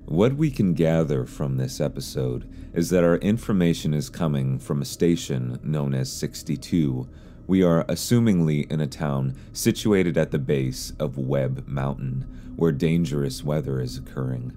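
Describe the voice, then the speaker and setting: A man reading scripted explainer narration in a measured, serious way, as a video narrator. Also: very deep voice, warm tone, faint music behind. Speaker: YouTube narrator.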